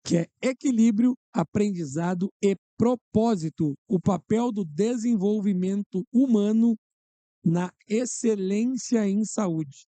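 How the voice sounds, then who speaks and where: This is a man hosting a podcast. His voice is very dark and mellow, recorded dry and close. He opens grandly and jokes about himself. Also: high voice, slow, dry recording. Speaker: podcast host. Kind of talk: theatrical show host introduction.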